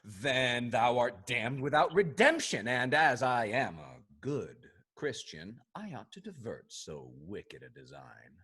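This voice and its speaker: Man playing a roguish, husky, swooping sea captain. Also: mid-range voice, sing-song, fairly bright tone, full character voice. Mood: amorous bravado. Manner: theatrical, swaggering delivery with hesitant teasing pauses. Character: roguish rakish sea captain, lusty, boisterous, flirtatious Englishman. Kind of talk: Restoration comedy stage acting.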